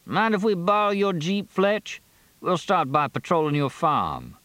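A narrator puts on a small-town sheriff, a man of dry humor and few words. The pitch is raised and the delivery lively, with plain, clipped phrasing. It is an audiobook character in a dry studio sound. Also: unhurried, no room sound, full character voice. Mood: practical.

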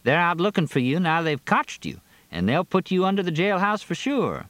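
A man's voice: a little high, normal speed, no echo, voice pushed up, close to an everyday voice. A man voicing a teasing, outspoken country woman with a wry drawl in a story reading. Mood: scolding.

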